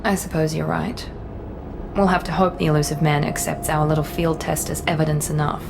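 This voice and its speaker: Exacting, lowish-voiced female operative. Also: level tone, fairly quick, creaky. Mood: skeptical, clinical. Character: exacting, businesslike female operative of secretive organization. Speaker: video game character. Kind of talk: video game voice acting. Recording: loud background music. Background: game music.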